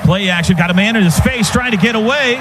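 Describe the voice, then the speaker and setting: An American man calling football play-by-play live on radio in clipped running phrases that build to shouts on big plays. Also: high-pitched, fast talker. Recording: noisy stadium radio broadcast.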